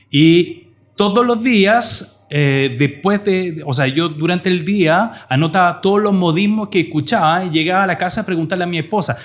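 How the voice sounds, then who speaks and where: A middle-aged man from France, a native French speaker, telling casual anecdotes as a guest in Chilean Spanish with a slight French trace. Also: a little high. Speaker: podcast guest.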